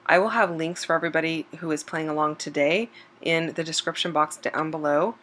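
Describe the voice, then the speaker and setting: A slightly hoarse woman hosting a scrapbooking channel, chatting casually over a craft process video with plenty of ums and self-corrections. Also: low voice, a little fast. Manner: relaxed and chatty, with frequent um fillers and self-corrections. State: slightly hoarse, losing her voice.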